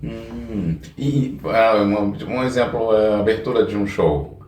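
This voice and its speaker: A Brazilian man hosting a casual interview, putting his questions in an informal, halting way. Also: lowish voice, darkish tone, slight background noise. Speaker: interviewer, podcast host. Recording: echoey room, distant from mic.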